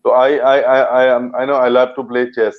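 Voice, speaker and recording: A man's voice: medium pitch, little pitch movement, brisk. Indian english guy from punjab rambling thru his acting stories, uh uh restarts, dull lo-fi call sound. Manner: rambling, many fillers and restarts. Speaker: actor guest. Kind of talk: casual interview chat.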